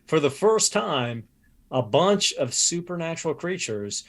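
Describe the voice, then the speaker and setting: A man's voice: fairly high pitch, very animated, measured pace. A man co-hosting a tabletop stream, passing the word around with short friendly reactions. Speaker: co-host. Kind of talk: casual stream chat.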